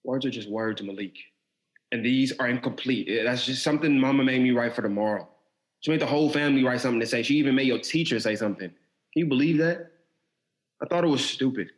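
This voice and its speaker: Man playing a quick-talking, exasperated younger brother. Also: mid-range voice, reverberant, lightly acted, rough recording. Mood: defensive. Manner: defensive, weary, earnest. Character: guarded, exasperated younger brother who writes. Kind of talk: stage-style audio drama dialogue.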